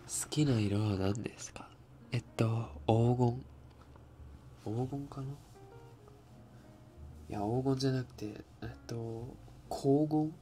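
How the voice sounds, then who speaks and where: A young man hosting an ASMR live stream, answering chat in a soft, half-whispered, slightly raspy voice and laughing often. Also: mid-range voice, normal speed, long pauses, music in the background. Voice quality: a little raspy.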